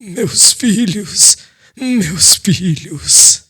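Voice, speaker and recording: A man's voice: sits high, lively intonation, very slow, sharp tone, husky, pitched above the performer's own voice, exaggerated voice. A man acting an anguished, pleading old father. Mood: tearful tenderness. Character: anguished old father praying in tearful sorrow.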